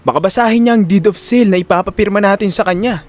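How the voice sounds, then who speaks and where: A man's voice: high voice, fast talker, pitched above the performer's own voice, close to an everyday voice. A man with a Filipino accent voicing an anxious, scheming young son in an audio drama. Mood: alarmed.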